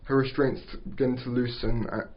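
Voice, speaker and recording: Guy reading out script directions at a table read, monotone, trips over words, muffled lo-fi recording. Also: medium pitch. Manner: halting, stumbles over words. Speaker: narrator reading stage directions. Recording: dull lo-fi recording.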